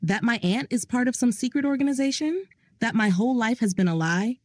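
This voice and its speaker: A frightened young woman speaks in high, pleading bursts, as a woman narrator puts her on. Her questions come breathless and anxious in this story reading, the sound dry and close. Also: expressive, a little fast, a little mellow, no echo. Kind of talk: audio drama reading.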